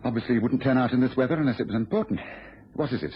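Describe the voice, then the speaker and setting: An actor playing a shrewd, composed British detective in a mid-century radio drama, deliberate and authoritative. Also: male voice, medium pitch, close to an everyday voice. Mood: grave, brisk. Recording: muffled, noisy old radio broadcast.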